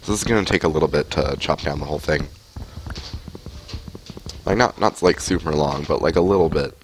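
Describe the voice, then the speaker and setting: Deep-voiced young man with a cold. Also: fairly quick, a little hiss or hum. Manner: rambling, chatty, many fillers. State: has a cold. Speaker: streamer. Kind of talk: casual gameplay commentary. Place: home room, indoors. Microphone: headset mic.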